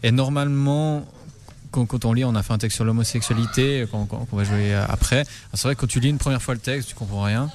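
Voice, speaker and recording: Male songwriter, mid-pitched, halting interview answers full of restarts. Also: steady tone, darkish tone, slight background noise. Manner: hesitant, many stammered restarts. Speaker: rock band lyricist.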